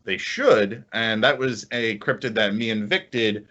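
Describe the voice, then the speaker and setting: A man's voice: on the low side, measured pace, muffled recording. A man co-hosting a podcast in casual, enthusiastic chat, stammering and repeating words as he goes. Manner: excitable, stammering with frequent repeats. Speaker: podcast co-host.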